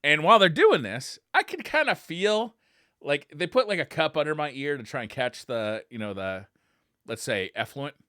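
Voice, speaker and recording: A man chats casually as a podcast co-host. His bright, forceful voice swings widely in pitch as he jokes and swears. The sound is very dry, with hardly any room to it. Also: moderate pace, no echo. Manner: animated, profane, joking. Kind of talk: casual chat.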